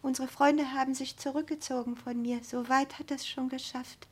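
A woman playing a persecuted, confused wife near breakdown in a radio drama, halting and anxious. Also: high voice, fairly even intonation, slightly rough. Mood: desperate. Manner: halting, anxious, repeating words. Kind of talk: German radio drama acting.

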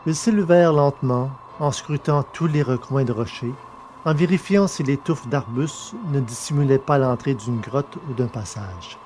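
A man narrates a science-fiction story in a measured, descriptive way. A faint background noise sits under his voice. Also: medium pitch, a little mellow. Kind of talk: scripted narration.

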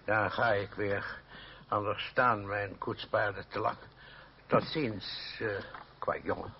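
An actor playing a gruff but soft-hearted old aristocratic godfather in a radio play, grumbling and hesitant in a paternal way. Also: lowish voice, fairly even intonation, takes it slowly. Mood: mollified. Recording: muffled old radio play, faint noise.

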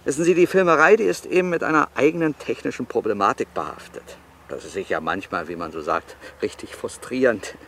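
With faint outdoor noise around him, a film director in a radio drama. His pitch is average for a man, and he talks in a fussy, placating, explanatory way, hesitating as he steers people along. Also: expressive, brisk, a bit bright. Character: fussy, persuasive male film director on location. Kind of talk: naturalistic radio play acting.

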